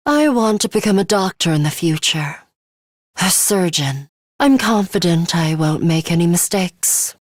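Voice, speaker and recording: A woman's voice: lowish voice, animated, a little slow, no echo, clean studio sound. A woman playing a serious, coolly arrogant schoolgirl fighter with a bloodthirsty streak in a video game, speaking in a formal, precise, faintly sinister way. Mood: composed, aloof. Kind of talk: English video game voice acting, measured monologue.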